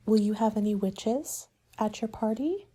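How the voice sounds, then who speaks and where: Soft asmr lady roleplaying a planner, breathy n mellow, polite and measured, half whispery. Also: medium pitch. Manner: polite, measured, businesslike consultant patter.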